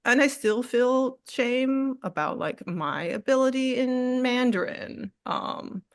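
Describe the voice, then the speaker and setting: A woman artist in a candid interview, speaking reflectively with frequent fillers, restarts and soft laughs. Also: high-pitched, animated, takes it slowly, no echo, clean studio sound. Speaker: artist guest.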